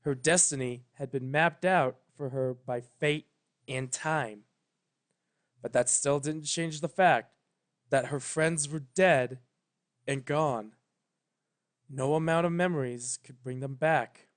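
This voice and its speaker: A male narrator with a fairly high voice reads a story at a very slow pace. His delivery is solemn and deliberate, broken by dramatic pauses. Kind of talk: scripted fan fiction narration.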